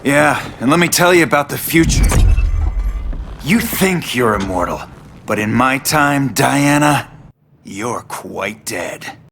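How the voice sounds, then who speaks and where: A smug time-traveling villain in a fighting game, with a gravelly, unhurried voice. He gloats with quiet menace, and loud noise sits behind him. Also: medium pitch, expressive. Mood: gloating.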